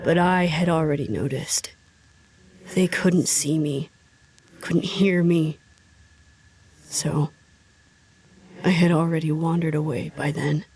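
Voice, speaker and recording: A woman's voice: low voice, fairly even intonation, slow, long pauses, a little mellow. A woman playing a lost, haunted wanderer in an audio drama, telling and living out their own story. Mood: somber narration. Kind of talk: audio drama narration and acting.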